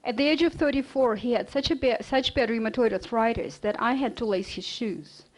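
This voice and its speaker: A middle-aged woman from Russia with a Russian accent, giving an earnest personal lecture with quick bursts, fillers and restarts. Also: on the high side, low-quality recording. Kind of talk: storytelling lecture.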